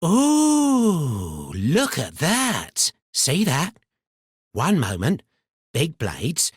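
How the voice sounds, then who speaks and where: Game role: a paranoid, chatty mad miner, rambling and theatrical, very varied intonation, dry studio sound. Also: male voice, a little high, wildly swooping pitch, drawn-out words, breathy edge, no echo, exaggerated voice. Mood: chatty, wry. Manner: rambling, muttering, colloquial, theatrically swinging between chummy and suspicious. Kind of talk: video game character voice lines.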